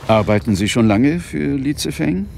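An actor playing a composed police inspector in an audio drama, matter-of-fact and dry. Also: male voice, mid-range voice, lively intonation, normal speed, creaky, a little mellow, a little hiss or hum. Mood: curious, casual. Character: composed, probing male London police inspector. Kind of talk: German radio play dialogue and narration.

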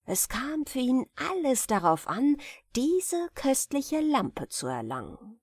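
A woman reading a fairy tale as an audiobook narrator, in expressive, carefully enunciated storytelling. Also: high-pitched, takes it slowly, breathy edge, no echo, studio quality.

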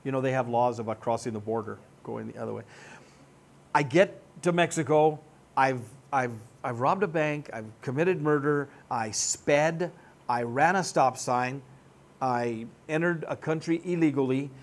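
A man in his sixties preaching a sermon, animated and joking, on a church stage. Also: mid-range voice, moderate pace. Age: 60s. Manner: animated, joking, gestures broadly while seated. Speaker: pastor. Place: church stage with band gear.

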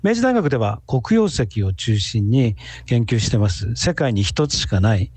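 A man's voice: medium pitch, sing-song, moderate pace, a little mellow, husky, no echo. A man speaking as a guest researcher, giving conversational answers in an interview.

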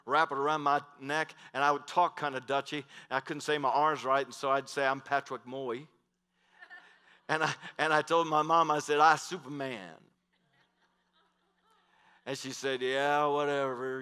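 Middle-aged male pastor, headset mic, emphatic sermon delivery with loud and hushed swings, bright tone, big hall reverb. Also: mid-range voice, clear, resonant tone. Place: large church stage.

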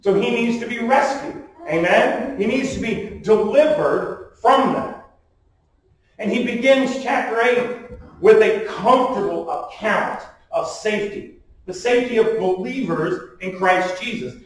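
A man in his forties preaching a sermon from a pulpit, emphatic, often putting questions to the listeners. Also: high voice. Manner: emphatic, with rhetorical questions and calls for amen. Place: small church sanctuary, at a wooden pulpit indoors. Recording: distant, very echoey room sound.

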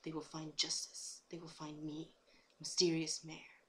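A brooding cartoon pony vigilante, acted by a woman, speaks solemnly and dramatically in a low voice. There is faint noise on the home recording. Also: completely flat delivery, normal speed, close to an everyday voice. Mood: brooding, resolute.

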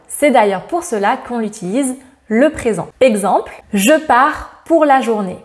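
A woman in her thirties giving a scripted grammar lesson to camera in a bright indoor room, lively and smiling, slowing down to stress her examples. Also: a little high. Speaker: French teacher. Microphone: lapel mic.

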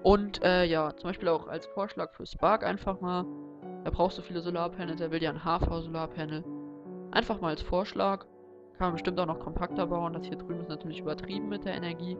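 A young man with a cold walks through a game build in a loose, thinking-aloud way. His voice is gravelly and slightly stuffy. It comes through a dull, lo-fi home microphone. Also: sits high, fairly quick, over music. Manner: relaxed, rambling, thinking aloud. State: has a cold. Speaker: Let's Play host. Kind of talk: casual gameplay tutorial commentary.

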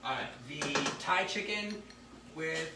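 A man's voice: on the high side, slow pace. A playful man in his thirties banters casually as he cooks. His voice comes from across a roomy, echoing kitchen, with heavy noise around it. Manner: playful, teasing. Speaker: co-host of a home cooking vlog. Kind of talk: casual bantering chat while cooking. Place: home kitchen, indoors. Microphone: camera across the room. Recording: roomy, noisy kitchen, distant mic.

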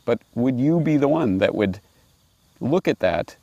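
A man in his forties giving reflective commentary and reading aloud, deliberate and emphatic, recorded outdoors on a lapel mic. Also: mid-range voice, expressive, warm tone, dry recording, highs cut off. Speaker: host and commentator. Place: outdoors on grass by trees and water.

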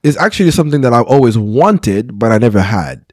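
A man's voice: medium pitch, very animated, brisk, a little mellow, breathy edge, dry recording. A pastor in his thirties telling his own story in an interview, earnest, often restarting and checking in with "right?", on a close podcast mic. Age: 30s. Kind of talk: candid personal storytelling. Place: small podcast studio. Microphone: close studio podcast mic.

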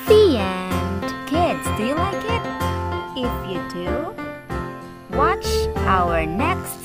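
A woman teacher reading a storybook aloud for young children in a deliberate, sing-song way, addressing them directly. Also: on the high side, sing-song. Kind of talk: slow, animated read-aloud for young children. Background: music.

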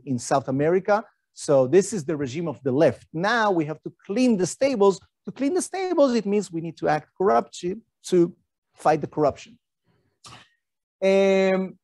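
Man, middle-aged, slightly high voice, mellow tone, dry close sound, chatty lecturer. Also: no room sound. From Argentina. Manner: conversational, asks rhetorical questions, frequent fillers. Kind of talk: academic lecture talk.

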